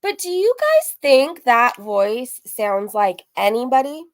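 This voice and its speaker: Female reaction host: slightly high pitch, casual, mocking commentary, dry room sound. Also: big pitch swings, slow, no room sound. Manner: teasing, mocking. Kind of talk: casual commentary to live viewers.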